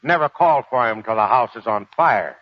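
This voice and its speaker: An actor playing a gruff, plain-spoken aging retired Marine with a folksy, halting manner in a 1940s radio drama. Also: male voice, mid-range voice, lively intonation, dry recording, natural voice. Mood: polite, plain-spoken. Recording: dull 1940s radio broadcast with music.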